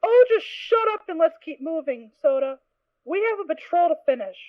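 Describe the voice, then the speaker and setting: A woman's voice: very high voice, animated, moderate pace, dry recording, muffled recording. Woman voicing a brusque unicorn mare guard. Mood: snappish. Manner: brusque, snappish. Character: gruff unicorn mare guard, tough, secretly soft. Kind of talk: fan audio drama reading.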